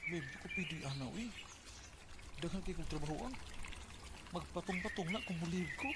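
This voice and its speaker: A man's voice: fairly high pitch, expressive, moderate pace, close to an everyday voice. A man with a Cebuano Filipino accent acting a weary, withdrawn, overworked boyfriend in a radio drama. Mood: apologetic, firm. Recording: very noisy radio drama recording.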